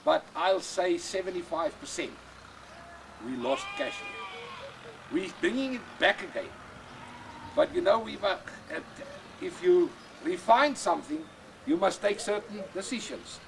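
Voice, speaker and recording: An older man with an Afrikaans accent, whose first language is Afrikaans, talking plainly and repetitively in halting English as a goat breeder outdoors on a farm. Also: high voice, big pitch swings, vocal fry, noisy background. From South Africa. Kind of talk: casual explanation on a farm.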